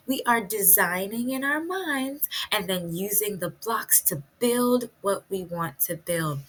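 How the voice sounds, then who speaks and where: A woman preschool teacher leading a virtual read-aloud lesson for young children, speaking in simple, deliberate phrases and putting questions to the children. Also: medium pitch, fairly bright tone, slight background noise, poor audio.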